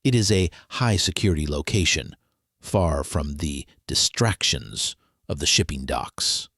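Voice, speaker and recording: A man's voice: very deep voice, husky, no echo, clean studio sound, exaggerated voice. A man playing a grave, authoritative government official who gives formal orders in a mystery drama. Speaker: actor in an audio mystery drama.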